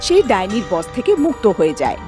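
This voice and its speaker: A woman's voice: medium pitch, big pitch swings, fast, a little mellow, faint music behind. A woman narrating a scripted cartoon story as its storyteller.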